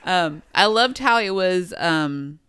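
A woman's voice: lowish voice, animated, slow pace, a bit bright, clean tone, dry recording, studio quality. A woman co-hosting a podcast, chatting casually and animatedly about films, often restarting her sentences. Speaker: podcast co-host.

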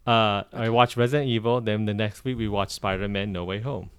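A man's voice: fairly low pitch, steady tone, fairly quick, clear, resonant tone, no room sound. A man co-hosting a podcast in a casual chat, joking and acting out mock scenes. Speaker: podcast co-host.

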